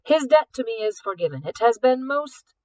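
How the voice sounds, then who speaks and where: A woman voicing a suave, wealthy older male art collector in a storybook, speaking in a formal, measured, indulgent way. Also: fairly high pitch, big pitch swings, a little mellow, dry recording, voice pushed up, rough recording. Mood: indulgent, persuasive.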